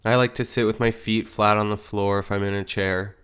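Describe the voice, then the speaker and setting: A man leading a guided meditation as a teacher, speaking in gentle, unhurried phrases with pauses. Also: on the low side, little pitch movement, clear, resonant tone.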